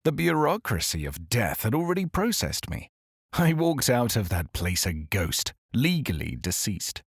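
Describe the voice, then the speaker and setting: An actor playing an exiled male doctor who speaks in a calm, grave, formal monologue in a scripted audio drama. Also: mid-range voice, vocal fry, breathy edge, dry recording, clean studio sound, full character voice. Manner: measured, formal confessional address. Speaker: narrator character.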